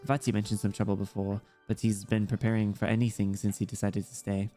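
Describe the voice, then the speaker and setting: A man's voice: fairly low pitch, flat delivery, fairly quick, mellow, voice pushed down, close to an everyday voice. A man reading the game lines of an earnest, proud ten-year-old girl in a plain storytelling way. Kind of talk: reading visual-novel dialogue aloud. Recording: music playing behind the voice.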